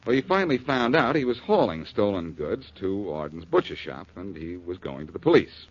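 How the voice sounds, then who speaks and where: An actor with an American accent playing a confident, wry detective in a 1940s radio drama, assured and clipped. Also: male voice, sits low, sing-song, normal speed. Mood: wry, explaining. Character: confident male detective, cool, wry and unflappable. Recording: muffled vintage 1940s radio recording.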